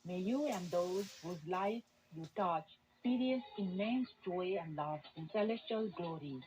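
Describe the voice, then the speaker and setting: A woman's voice: on the low side, lively intonation, unhurried, a little mellow, soft background music, poor audio. A woman presenting scripted news narration, heard through a shortwave radio broadcast.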